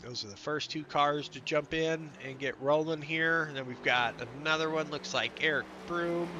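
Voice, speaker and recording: A man's voice: fairly high pitch, normal speed, muffled recording, background noise. Congested, nasal man calling a sim race. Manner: chatty play-by-play, reading out lap times. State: congested, under the weather. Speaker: sim racing commentator. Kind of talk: live race broadcast commentary.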